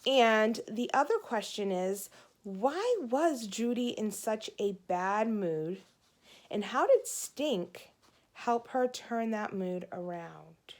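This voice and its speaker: Slow, expressive woman reading to children. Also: mid-range voice. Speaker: storybook reader, teacher. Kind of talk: expressive read-aloud narration for children.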